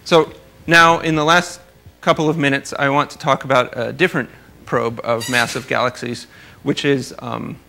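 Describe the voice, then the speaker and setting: A man's voice: medium pitch, steady tone, normal speed, vocal fry, hardly any pauses, bright, forceful tone. A man giving an informal research lecture, explaining technical material with careful hedges and wry, dry asides. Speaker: astronomer giving a research talk.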